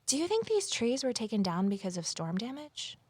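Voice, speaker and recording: An actress playing an eager, easily flustered rookie conservation officer and amateur sleuth in an audio drama, earnest and inquisitive. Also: female voice, a little high, sing-song, brisk, darkish tone, slightly rough, no echo. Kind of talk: naturalistic audio drama acting with first-person narration.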